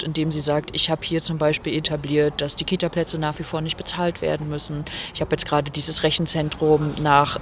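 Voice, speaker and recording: A woman's voice: sits low, slight background noise. A woman from Rostock, an author giving earnest, explanatory answers in an interview, recorded at a venue before her reading. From Germany, Rostock.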